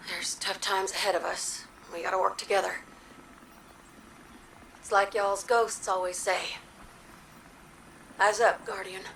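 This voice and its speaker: An actress playing a plucky, plain-spoken young pilot in a sci-fi game, with a Southern American accent and a folksy, informal manner. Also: female voice, mid-range voice, little pitch movement, measured pace, pauses often, fairly bright tone, slight background noise, poor audio. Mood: hurt but firm. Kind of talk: conversational game dialogue. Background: clear background music.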